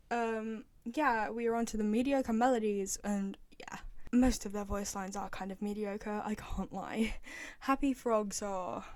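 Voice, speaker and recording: Video host: young woman, fairly high voice, dry recording, informal and opinionated fan commentary. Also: darkish tone, no room sound. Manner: chatty, emphatic, repeats phrases for emphasis.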